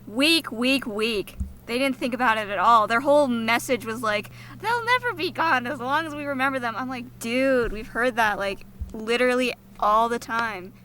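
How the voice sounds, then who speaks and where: A woman's voice: on the high side, expressive, normal speed, few pauses, bright, forceful tone, a little hiss or hum. A woman co-hosting a podcast, giving blunt, sarcastic opinions in casual chat.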